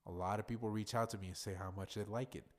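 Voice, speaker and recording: A man with a somewhat low voice hosts his own podcast, rambling casually on his own. He is congested and sounds plainly nasal, and he swears freely as he talks. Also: fairly even intonation, fast. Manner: rambling, profane, loose and chatty. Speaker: podcast host. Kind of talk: casual solo podcast monologue.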